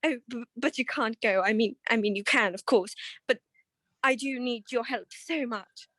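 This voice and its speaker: A woman's voice: on the high side, normal speed, fairly bright tone, no echo. A woman playing an anxious, genteel married client in a 1940s-style detective radio play, pleading with many stammered hesitations. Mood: flustered, pleading.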